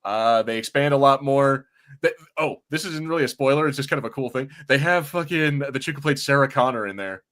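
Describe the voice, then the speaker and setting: A man with a mid-pitched voice chats casually as a podcast host. He talks in a halting way, doubling back on words as he builds a point, and drops in casual swearing. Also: a bit bright. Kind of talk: casual chat, TV discussion.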